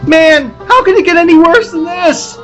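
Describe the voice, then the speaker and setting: A man's voice: very high voice, moderate pace, a bit bright, faint music behind, pitched above the performer's own voice. A man voicing a goofy, whiny young hippie slacker from a cartoon, in a comic table read. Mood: dismayed.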